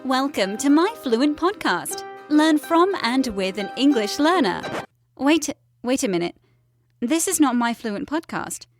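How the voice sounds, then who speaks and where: Chirpy high woman announcer, bouncy and sing-song, a man doing the voice. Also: big pitch swings, unhurried, soft background music, exaggerated voice.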